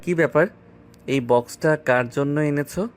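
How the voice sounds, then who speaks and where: A man's voice: fairly high pitch, moderate pace, lightly acted. A man playing a cocky, carefree young student boyfriend in an audio love story, with a casual, offhand manner. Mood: casual, puzzled. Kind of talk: acted dialogue in an amateur audio love story. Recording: voice over background music.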